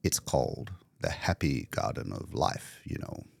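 Gloomy old male artist voiced by a man, storybook character, deep, scornful, dryly sardonic, close dry sound. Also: big pitch swings, unhurried, creaky, breathy edge, no echo, full character voice. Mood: dry satire.

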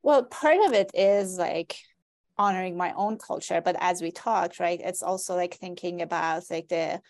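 A woman whose first language is Persian talks about her work as a guest author. Her voice sits low and carries a gravelly fry. She keeps checking in with "right?" and fills her pauses with "like" as she reasons through ideas. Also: a little mellow. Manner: reflective, frequent "like" and "right?" fillers. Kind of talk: academic conversation.

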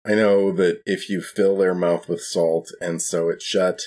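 Deep, husky man playing tabletop. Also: level tone, a little slow, a little mellow. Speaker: tabletop role-playing game player. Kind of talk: casual in-game chat.

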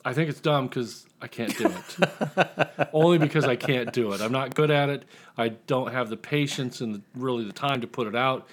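A man's voice: mid-range voice, moderate pace. A tipsy American man from Indiana chatting casually as an author guest, rambling, self-deprecating and profane. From Indiana, United States. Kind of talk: casual chat.

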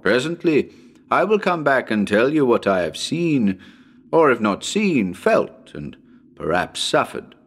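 A man voicing a solemn foreign psychic professor who hunts ghosts, speaking haltingly and portentously in a dramatic horror reading. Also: mid-range voice, big pitch swings. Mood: solemn warning. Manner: halting, deliberate, portentous pauses.

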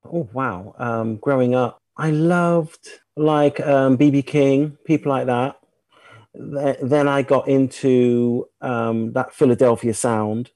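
A man's voice: medium pitch, takes it slowly, no echo. British man chatting casually, dry close sound. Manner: animated, acts out sounds and remembered dialogue. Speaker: singer, interview guest. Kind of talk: casual conversational storytelling.

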